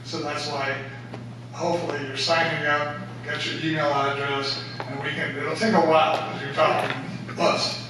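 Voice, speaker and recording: A man speaks to a community meeting in a flat, low-key way about getting the group organized. His slightly raised voice is heard from far away in a booming, echoey room, with a steady background hum. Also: moderate pace.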